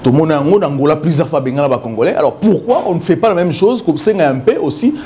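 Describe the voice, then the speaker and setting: Fast, insistent male debate host. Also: on the high side, lively intonation, mellow. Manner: insistent, interrupting, repeats himself. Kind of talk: political debate in French and Lingala.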